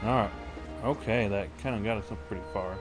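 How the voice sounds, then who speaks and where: A man's voice: fairly low pitch, a little fast. A man giving casual, grumbling livestream commentary on a video game. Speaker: game streamer. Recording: game music behind the voice.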